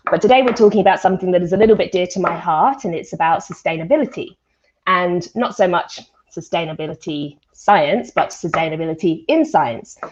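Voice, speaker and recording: Low-pitched woman hosting, dull lo-fi call. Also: fairly quick, a little mellow. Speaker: host, volunteer science event presenter. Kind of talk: informal live online panel hosting. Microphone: video call. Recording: dull, lo-fi video call audio.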